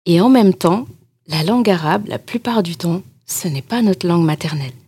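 Young woman, podcast host, earnest, addressing the listener, slightly husky, low-pitched. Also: animated, normal speed. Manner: earnest, speaks gently and directly to the listener. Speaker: podcast host and Quran teacher. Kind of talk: reflective spoken podcast talk.

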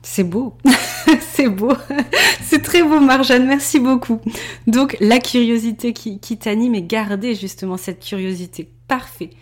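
A woman's voice: on the high side, measured pace. A woman hosting a podcast interview, introducing her guest and asking questions with enthusiasm. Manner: lively, enthusiastic. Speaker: podcast host.

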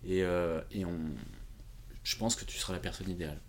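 A man's voice: low-pitched, steady tone, fairly quick. A man being interviewed as a podcast guest, telling anecdotes casually and acting out quoted dialogue. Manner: informal, acts out quoted dialogue. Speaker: podcast guest, independent consultant. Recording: clearly audible background noise.

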